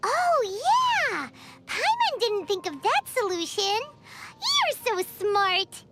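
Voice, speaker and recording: A woman voicing a tiny, bubbly, childlike female fairy companion in a video game, squeaky and excitable, dramatic in manner. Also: slow pace, very noisy, full character voice. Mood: bright, excited. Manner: speaks of self in third person. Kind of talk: video game character dialogue.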